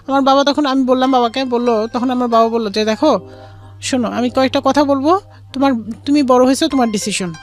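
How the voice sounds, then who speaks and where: Fast-talking young Bangladeshi woman. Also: sits high, faint music behind. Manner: mixes in English words. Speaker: guest telling her life story. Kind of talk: personal storytelling in an interview.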